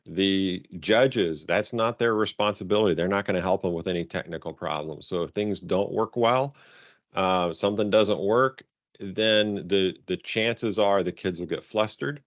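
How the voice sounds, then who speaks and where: Deep-voiced man on a roomy call. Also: normal speed. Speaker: robotics coaching advisor leading a conference call. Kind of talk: informal spoken guidance to coaches. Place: online conference call. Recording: dull, roomy conference call audio.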